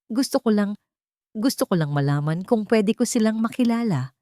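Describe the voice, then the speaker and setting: A woman voicing a remorseful, emotional ex-husband in an audio drama, speaking in a halting, pleading way. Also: high voice, animated, dark tone, no echo, pitched above the performer's own voice. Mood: desperate pleading.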